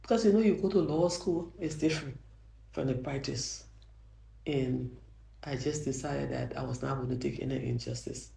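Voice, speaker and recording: An older Liberian woman speaks with a soft, gravelly voice, mellow in tone. She tells her story earnestly, restarting phrases and pressing her points home. The room around her gives a clear echo. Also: extremely low pitch, moderate pace. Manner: earnest, frequent restarts, grows emphatic. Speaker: judge. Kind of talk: interview answers, reflective storytelling. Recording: roomy echo.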